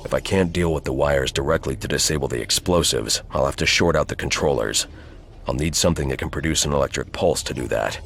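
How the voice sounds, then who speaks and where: An actor playing a grim, stoic masked vigilante in a video game, gravelly, terse and deadpan. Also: male voice, very deep voice, a little fast, slight background noise, full character voice. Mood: grim, determined. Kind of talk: video game cutscene acting.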